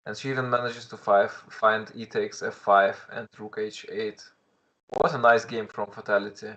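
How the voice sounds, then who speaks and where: A man's voice: mid-range voice, a bit bright, poor audio. A young man with a Russian accent, commentating a live chess stream in English, thinking aloud with frequent restarts and greeting viewers in chat.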